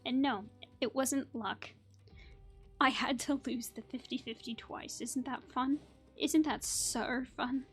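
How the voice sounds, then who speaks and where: High-voiced woman casually narrating her gameplay. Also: steady tone, slow pace, fairly bright tone, breathy edge. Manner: chatty, playful asides. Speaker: gaming streamer. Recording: game music behind.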